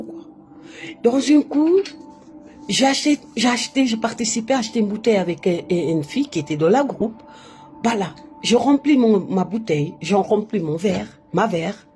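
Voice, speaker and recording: A woman's voice: medium pitch, darkish tone. A woman, not a native speaker of the language, talking live to her viewers in an emphatic, repetitive storytelling way. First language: not French. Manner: emphatic, keeps repeating a filler between phrases. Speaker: live stream host. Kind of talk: casual live monologue, personal storytelling.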